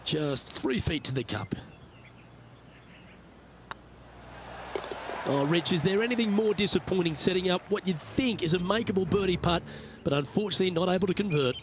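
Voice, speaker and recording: A man's voice: high-pitched, lively intonation, quick pace, noisy background. A man playing a genial, composed lead golf announcer in a video game, commentating conversationally with understatement and tag questions. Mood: engaged, conversational.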